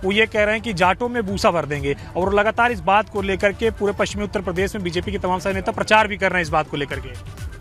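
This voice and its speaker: Male field reporter, very high forceful voice, election interviews outdoors, faint music behind. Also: fast talker.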